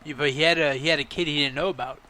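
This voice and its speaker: A man's voice: a little high, steady tone, very fast, bright, forceful tone, rough recording. A man co-hosting a podcast in casual banter, playfully riffing on the jokes. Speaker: podcast co-host.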